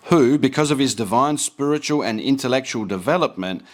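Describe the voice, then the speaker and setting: A man's voice: mid-range voice, lively intonation, a little fast. Earnest man preaching in explanatory commentary. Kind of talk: explanatory commentary on a text read aloud.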